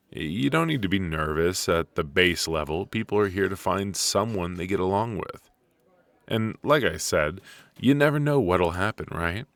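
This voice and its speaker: A low-voiced, sociable man played by a male voice actor chats in an ASMR coffee shop roleplay. He takes his time, reassuring and friendly, leaving gaps for an unheard partner. The sound is close and dry. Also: a bit bright, dry recording, heavily acted. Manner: conversational, reassuring, with pauses for an unheard listener. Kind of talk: ASMR roleplay, casual one-sided conversation.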